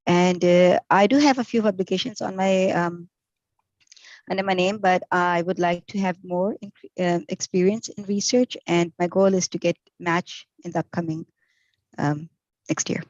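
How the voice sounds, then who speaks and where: A woman's voice: lowish voice, fairly even intonation, normal speed, darkish tone, no room sound. A woman from Pakistan introducing herself hesitantly and politely on a video call. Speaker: research fellow applicant. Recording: slightly dull, dry video call audio.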